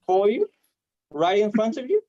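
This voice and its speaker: A man from Vietnam with a Vietnamese accent and a stutter, performing stand-up comedy haltingly over a video call. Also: sits high, sing-song, dark tone. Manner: hesitant, halting delivery with repeated words. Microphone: video call microphone. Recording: dull, muffled online call audio.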